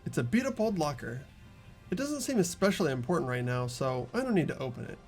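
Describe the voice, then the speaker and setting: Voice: mid-range voice, very animated, quick pace, a little mellow, faint music behind. A playthrough host chatting casually with friends and reading game text aloud.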